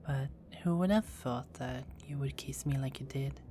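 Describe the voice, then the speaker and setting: A woman playing a sultry, deep-voiced vampire. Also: moderate pace, dark tone, a little hiss or hum. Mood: teasing whisper. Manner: teasing, close whispers. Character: seductive, dominant female vampire. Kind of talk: ASMR roleplay.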